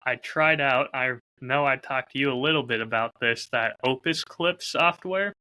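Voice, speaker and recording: A man's voice: medium pitch, normal speed, pushed and bright, low-quality recording. A man in casual podcast conversation, talking as a host in an upbeat, enthusiastic way about his own work. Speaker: podcast host and audio drama creator.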